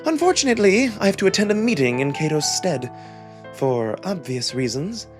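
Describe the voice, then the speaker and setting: Guy doing a formal scheming jackal noble for a visual novel, careful diplomatic talk, lively pitch, music under it. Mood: cautioning. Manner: formal, diplomatic, deliberate phrasing. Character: smooth, scheming male jackal nobleman, measured and wary. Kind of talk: visual novel character reading.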